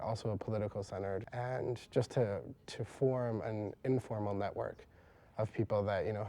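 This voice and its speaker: Flat, dark-voiced man explaining calmly. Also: on the low side. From United States. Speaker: activist interviewee. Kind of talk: interview answers.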